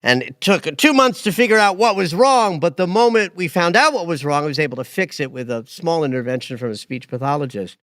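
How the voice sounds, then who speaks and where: A man in his late fifties hosts a casual astrology podcast. His voice sits a little high and moves in wide, lively swings, with emphatic peaks and quiet asides. The sound is dry and close. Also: fairly quick, hardly any pauses, dry recording. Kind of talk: casual conversational chat.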